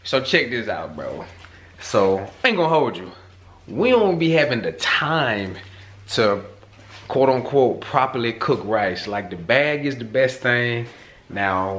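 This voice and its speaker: A man's voice: mid-range voice, animated, measured pace, a bit bright, slight background noise. Laid-back man talking to the camera. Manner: laid-back, talks straight to viewers with lots of slang. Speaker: vlog host. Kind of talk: casual cooking vlog chat. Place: home kitchen and living room, indoors. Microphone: handheld camera at arm's length.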